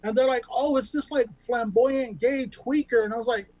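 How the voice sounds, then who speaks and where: A man's voice: extremely high pitch, normal speed, dark tone, dull audio. A man in his thirties from California doing a self-deprecating stand-up set over a video call, rambling with lots of ums and restarts. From Bakersfield, California. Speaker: stand-up comic.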